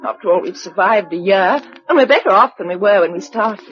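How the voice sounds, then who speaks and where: An actress playing a spirited, affectionate British woman survivor in a radio drama, brisk and exclamatory. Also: mid-range voice, animated, natural voice. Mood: fond, brisk. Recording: muffled radio drama recording.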